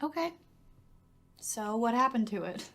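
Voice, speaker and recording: Contrary teenage girl, lively and sarcastic. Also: on the high side, measured pace. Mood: curious, guarded. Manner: sarcastic, grumbling. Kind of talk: naturalistic web series acting.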